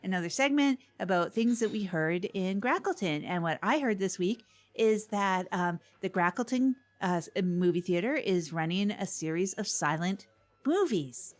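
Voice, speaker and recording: A woman playing an earnest, chatty small-town public access radio host who rambles and repeats herself in a halting way. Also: medium pitch, lively intonation, faint music behind, close to an everyday voice. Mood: earnest, announcing. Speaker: co-host of community radio show. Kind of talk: improvised comic audio drama, casual radio chat.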